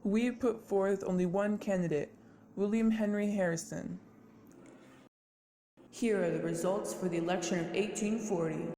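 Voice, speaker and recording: A woman's voice: mid-range voice, steady tone, moderate pace, pauses often, dark tone, natural voice. A woman playing accusing, demanding citizens and an election announcer in an amateur history puppet show. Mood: declaring, blaming.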